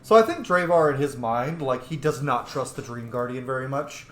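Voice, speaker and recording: A man's voice: mid-range voice, moderate pace. A man giving casual, jokey let's-play commentary as he roleplays through a video game. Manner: playful, jokey. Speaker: gameplay streamer. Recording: roomy echo, game music behind.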